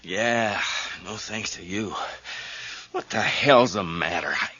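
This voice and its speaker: A man playing a blunt, concerned middle-aged friend in a radio drama, speaking in an excitable, emphatic way. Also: on the low side, slow pace, breathy edge, dry recording, heavily acted. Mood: alarmed, angry. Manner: animated, emphatic. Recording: dull lo-fi radio drama audio.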